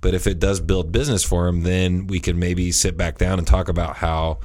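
A man's voice: deep voice, little pitch movement, moderate pace, darkish tone. A thirty-year-old man, a podcast host, talking in a meandering, casual way with many restarts. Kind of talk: casual chat.

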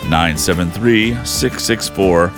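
A man reading a scripted outro announcement for a show in a lively way. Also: low-pitched, slow. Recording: announcer voice over music.

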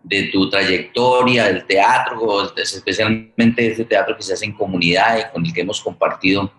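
Low-voiced Colombian host in echoey room. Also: male voice, fairly even intonation, moderate pace, fairly bright tone, slight background noise. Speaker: host of a radio theater forum. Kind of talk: conversational program hosting.

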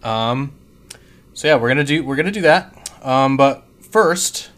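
A man's voice: medium pitch, animated, measured pace, fairly bright tone. A man co-hosting a podcast in casual chat, informal and self-deprecating with some mild swearing. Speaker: podcast co-host.

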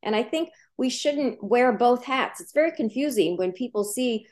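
A woman's voice: a little high, lively intonation, normal speed, a little mellow. Earnest woman explaining journalism. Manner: earnest, explanatory, emphatic repetitions. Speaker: journalism professor, guest. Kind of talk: interview answers.

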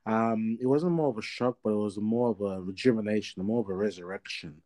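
Man in his thirties, interview guest, mellow dark voice, somewhat low, rambling with lots of fillers. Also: fairly quick. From Australia. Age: 30s. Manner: rambling, many fillers and restarts. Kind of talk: casual interview.

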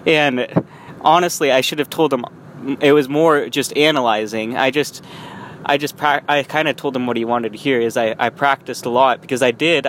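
A man's voice: mid-range voice, a bit bright. Young man vlogging while driving. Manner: rambling with frequent restarts and small laughs. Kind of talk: casual vlog monologue. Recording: faint road noise.

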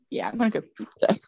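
A woman's voice: muffled recording, background noise. A woman co-hosting a podcast in casual chat, rambling and chatty, laughing often. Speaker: podcast co-host.

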